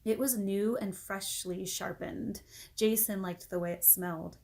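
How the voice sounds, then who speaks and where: A woman reading a children's storybook aloud as an expressive narrator. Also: mid-range voice, a little slow, creaky. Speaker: storybook reader.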